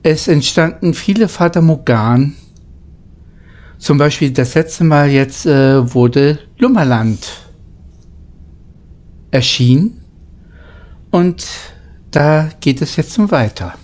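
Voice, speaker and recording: Slow, mellow man reading a story aloud. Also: on the high side, animated. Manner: halting, stumbling over words while reading. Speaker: amateur storyteller reading a children's novel aloud. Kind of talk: informal read-aloud storytelling with chatty asides.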